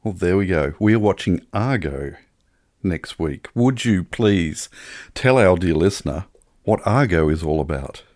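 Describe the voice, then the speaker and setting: A man co-hosts a relaxed film podcast in a low voice. His pitch moves around a lot while his pace stays easygoing, and he has a jokey manner. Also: measured pace, no room sound, clean studio sound. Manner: jokey, prone to laughing. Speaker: podcast co-host. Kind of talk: casual film podcast chat.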